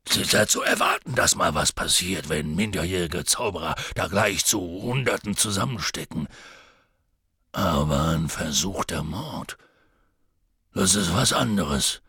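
A man voicing a huge, gruff, anxious half-giant groundskeeper in an audiobook, rambling nervously and clipping his words. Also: low voice, lively intonation, unhurried, bright, forceful tone, dry recording, studio quality, exaggerated voice. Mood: uneasy. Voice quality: gravelly, husky. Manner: rambling, nervous, drops word endings. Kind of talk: audiobook character voice.

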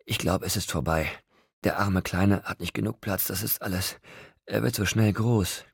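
A man voicing a frail, gentle young woman in a story, with a strained, breathy, tender manner. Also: lowish voice, animated, moderate pace, no echo, studio quality, pitched above the performer's own voice. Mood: strained, reassuring.

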